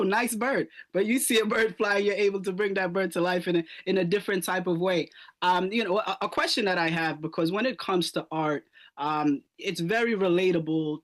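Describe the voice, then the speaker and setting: A man hosting a radio interview show, upbeat and friendly, often repeating words as he starts his questions. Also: high voice.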